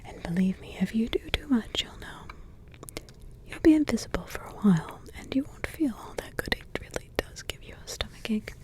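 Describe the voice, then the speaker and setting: A woman's voice: on the high side, animated, moderate pace, lightly acted. Woman whispering as a doting grandma witch. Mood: tender whisper. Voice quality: soft whisper throughout. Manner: tender, sidetracked asides, affectionate endearments. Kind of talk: ASMR roleplay.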